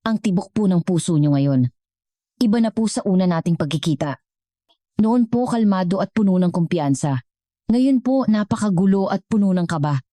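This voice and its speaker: Audio story part: humble teen street boy performed by a woman, sincere and respectful, mellow tone, dry sound. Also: lowish voice, lively intonation, normal speed, no room sound, pitched above the performer's own voice, natural voice. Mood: quietly confronting. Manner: polite, earnest. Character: earnest, polite teenage shoeshine boy with keen hearing.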